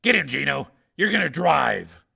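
A hard, gravelly tough guy performed by a male narrator barking curt orders and threats. The delivery is sharp and forceful, fit for a thriller audiobook. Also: on the high side, sing-song, normal speed, pitched above the performer's own voice, exaggerated voice. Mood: commanding. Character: tough, menacing male vigilante, curt and commanding.

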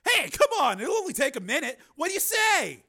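A man's voice: extremely high pitch, huge pitch swings, a little fast, pushed and bright, pitched above the performer's own voice, heavily acted. A man voicing a pushy, overfriendly bar patron with a brash, wheedling manner.

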